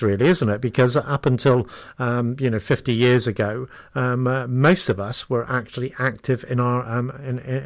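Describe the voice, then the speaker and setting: A British man chatting casually as a radio interviewer, halting and repeating words as he goes. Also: mid-range voice.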